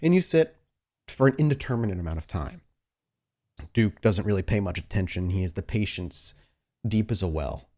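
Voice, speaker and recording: A man narrating a tabletop roleplaying game as game master, dramatic, with deliberate pauses. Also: low voice, expressive, mellow. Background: faint music.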